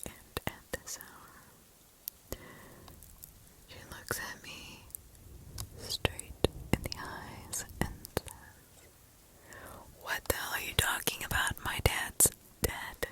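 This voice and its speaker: A woman reads scary stories in a hushed, breathy ASMR whisper with deliberate pauses, close to the microphone. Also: low-quality recording. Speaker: ASMR host. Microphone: close ASMR microphone, ear to ear.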